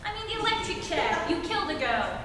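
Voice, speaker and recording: A woman's voice: very high voice, very animated, quick pace, pushed and bright, far from the mic, exaggerated voice. A woman playing a brusque, suspicious homicide officer in an old-time radio comedy on stage, with a curt, dry manner. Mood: dry, interrogating. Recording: echoey theatre hall from afar, noisy.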